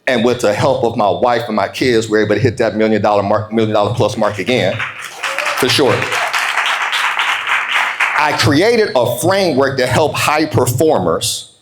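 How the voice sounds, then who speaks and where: An American man in his forties giving a motivational keynote, telling stories emphatically with dramatic pauses and colloquial call-outs to his audience. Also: medium pitch, roomy sound. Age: 40s. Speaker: keynote speaker, business coach.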